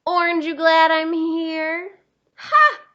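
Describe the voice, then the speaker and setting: A woman's voice: very high voice, fairly even intonation, takes it slowly, pushed and bright, dull audio, voice pushed up, exaggerated voice. A woman voicing a scornful, smug orange crayon in a storybook, with a sneering, punchy delivery.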